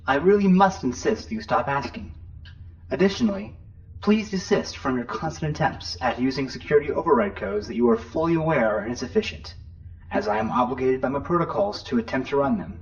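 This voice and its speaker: A man's voice: medium pitch, lively intonation, fairly quick, slight background noise, close to an everyday voice. Man acting prim ship computer, dull muffled sound. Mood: officious, displeased. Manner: formal, pedantic, precise. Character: prim, officious male ship computer, coolly sarcastic. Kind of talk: science fiction audio drama acting.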